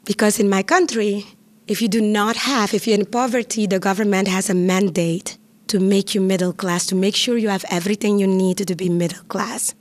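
Lively woman telling her story. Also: medium pitch, a little fast. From Curaçao. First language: Papiamentu. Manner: lively, chatty, laughs often. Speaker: interviewee. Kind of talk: personal storytelling.

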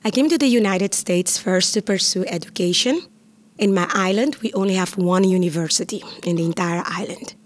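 Lively woman telling her story. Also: lowish voice, a little fast. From Curaçao. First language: Papiamentu. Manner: lively, chatty, laughs often. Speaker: interviewee. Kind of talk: personal storytelling.

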